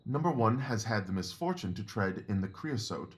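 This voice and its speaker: A man's voice: lowish voice, lively intonation, normal speed, natural voice. A man playing a confident, analytical Victorian detective in an audio drama, assured, precise and didactic in his talk. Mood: elated.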